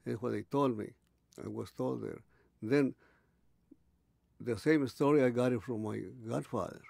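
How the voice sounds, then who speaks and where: Elderly man in his eighties, gravelly and mellow, halting storyteller. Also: medium pitch, dry recording, clean studio sound. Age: 80s. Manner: halting, many restarts and pauses. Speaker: interviewee. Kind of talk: oral history recollection.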